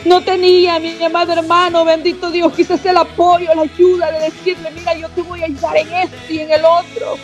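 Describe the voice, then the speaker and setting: A woman's voice: very high-pitched, level tone, normal speed, few pauses. A woman preaching a fervent sermon, often breaking in with "mi amado hermano" and cries of praise. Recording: dull lo-fi sound with faint music behind.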